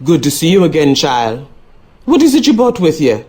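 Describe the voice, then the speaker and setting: Low-voiced, knowing Southern hoodoo woman. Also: sing-song, moderate pace, a little mellow. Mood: knowing, mysterious. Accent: American South. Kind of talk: video game character acting.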